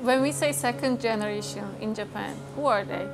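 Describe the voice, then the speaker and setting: A woman's voice: a little high, brisk, over music. A woman interviewer asking brief, direct questions.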